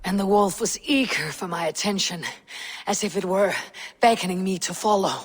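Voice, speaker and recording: A woman's voice: medium pitch, moderate pace, natural voice. A woman playing a proud, earnest female Viking warrior in a dramatic video game, with an intense manner. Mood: shaken, hushed.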